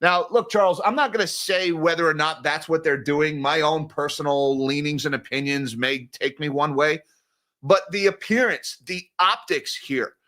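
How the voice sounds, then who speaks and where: Brief: male stream host, high and bright voice, ranting and exasperated. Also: moderate pace. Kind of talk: live-stream news commentary.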